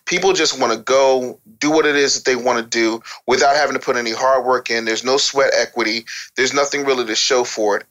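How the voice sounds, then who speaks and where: A man's voice: mid-range voice, a bit bright, rough recording. A male interview guest explaining his opinions bluntly and wryly, with emphatic lists and rhetorical questions. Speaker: interview guest, author and radio producer.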